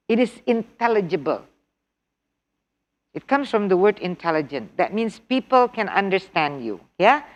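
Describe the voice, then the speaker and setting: A middle-aged woman with an Indonesian accent teaching an online TOEFL workshop, switching between English and Indonesian, quizzing her class and repeating key words. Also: mid-range voice. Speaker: TOEFL instructor.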